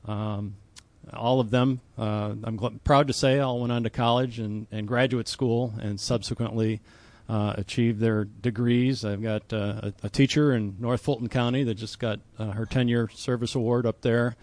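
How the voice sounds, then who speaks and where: A man's voice: mid-range voice, fairly even intonation, darkish tone, no room sound. A man in his sixties from Ohio, a manufacturing executive talking about himself as a guest in a casual interview. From Ohio, US Midwest. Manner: measured, self-described plain accent.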